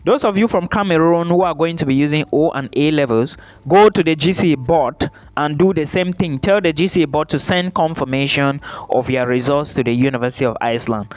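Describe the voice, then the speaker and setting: Emphatic man giving advice, high voice. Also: fairly quick. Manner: emphatic, repeats points, checks in with "okay?". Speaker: study-abroad YouTuber. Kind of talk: direct-to-camera advice vlog.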